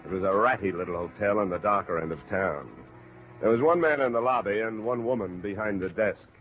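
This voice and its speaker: A man's voice: lowish voice, a little fast, close to an everyday voice. An American man acting a dry, stubborn insurance investigator with clipped delivery in a 1950s radio drama. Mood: dry narration. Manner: clipped, hard-boiled. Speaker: lead actor and narrator of a detective series. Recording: muffled, noisy old radio broadcast.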